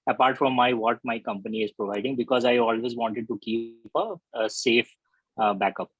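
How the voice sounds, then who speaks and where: Husky man asking questions. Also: mid-range voice, fairly even intonation, a bit bright, dry recording, dull audio. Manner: deliberate, hesitant questioning. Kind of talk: questions on a video call.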